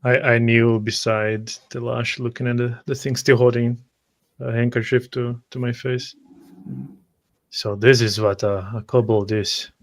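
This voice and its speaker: Even, hesitant male roleplayer. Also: mid-range voice, unhurried. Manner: hesitant, repeating words. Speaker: tabletop roleplay player. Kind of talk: in-character roleplay, first-person action narration.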